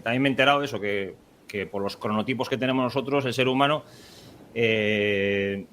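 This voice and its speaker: A man's voice: on the low side, a bit bright, slight background noise. A male podcast host chats in a loose, rambling way. He restarts his sentences often, fills gaps with "eh" and breaks into laughter here and there. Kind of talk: casual conversational interview.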